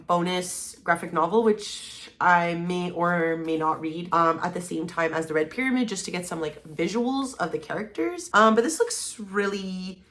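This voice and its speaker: A woman's voice: medium pitch, fairly bright tone. A woman hosting a book vlog, talking to viewers in an enthusiastic, informal and slangy way. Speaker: book vlogger, channel host. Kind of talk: chatty scripted-and-casual book vlog to camera.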